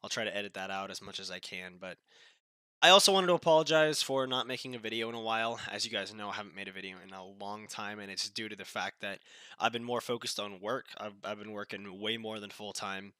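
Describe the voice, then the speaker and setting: A man's voice: lowish voice, fast talker, sharp tone, clear, resonant tone, dry recording. A young man with a stuffy, nasal voice from a cold, giving blunt, dismissive commentary as a channel host, often restarting his sentences. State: sick, nasal voice. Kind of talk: casual political commentary.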